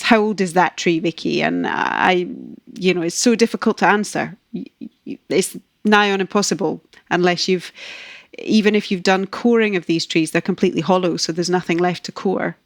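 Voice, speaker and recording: A woman's voice: on the low side, fairly even intonation, moderate pace, no room sound, clean studio sound. A woman in her fifties from Scotland with a Scottish accent, answering interview questions in a chatty, self-deprecating way. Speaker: guest ecologist.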